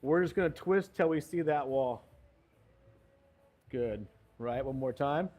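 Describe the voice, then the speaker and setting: A man's voice: mid-range voice, a little slow, darkish tone. A man coaching a golf lesson, giving short cues and encouragement as the student swings. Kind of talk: hands-on golf lesson instruction.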